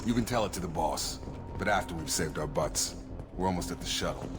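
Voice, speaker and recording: An actor playing a loyal, frank soldier in a video game, speaking in an earnest, firm, plain-spoken way. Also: male voice, low-pitched, fairly quick, close to an everyday voice. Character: steady, loyal male soldier, frank and dutiful. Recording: reverberant hall under music.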